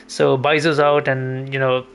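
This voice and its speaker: A man's voice: mid-range voice, little pitch movement, a little slow, gravelly. A man chatting casually as a live game streamer, rambling and repeating phrases as he thinks.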